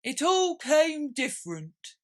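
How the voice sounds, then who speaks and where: A melancholy storybook turtle creature, speaks in a raised, swooping voice. The words are stretched out and plaintive, as if the creature is easily hurt. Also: female voice, high-pitched, very animated, slow, voice pushed up, full character voice. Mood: curious, thoughtful.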